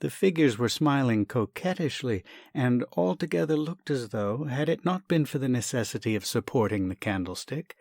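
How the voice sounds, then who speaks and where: A man's voice: medium pitch, gravelly, a little mellow, no echo. Dry studio man narrating a comic tale. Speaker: story reader, actor. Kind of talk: scripted narration of a classic comic short story.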